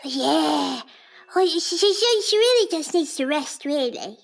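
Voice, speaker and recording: A woman voicing a nervous, polite, squeaky Edwardian street boy who stammers deferentially in an audio drama. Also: animated, a little slow, creaky, soft background music, heavily acted.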